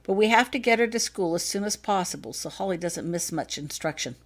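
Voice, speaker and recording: A woman voicing a courteous, quietly commanding wizard father in an audiobook story, speaking smoothly and persuasively. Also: fairly low pitch, expressive, brisk. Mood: persuasive, decisive. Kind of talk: acted fanfiction audiobook dialogue.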